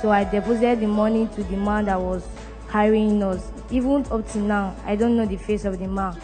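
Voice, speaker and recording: A woman's voice: medium pitch, steady tone, fairly quick, dark tone. A woman with a Nigerian accent speaks earnestly about her experience, her delivery plain and broken by restarts. Faint music plays in the background. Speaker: returnee giving testimony. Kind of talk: earnest personal testimony.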